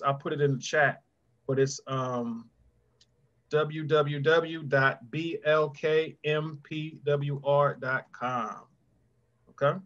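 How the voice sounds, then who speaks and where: A man's voice: mid-range voice, fairly bright tone. A middle-aged man speaking as a guest author, chatting encouragingly and directly to children on a video call. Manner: conversational, addresses children directly by name. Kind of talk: encouraging question-and-answer talk to children. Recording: dull, muffled video call audio.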